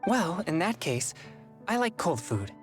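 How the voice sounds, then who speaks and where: A woman's voice: on the low side, big pitch swings, a little slow, soft background music. A woman playing a polite, earnest, mild teenage boy in a video game, slightly hesitant.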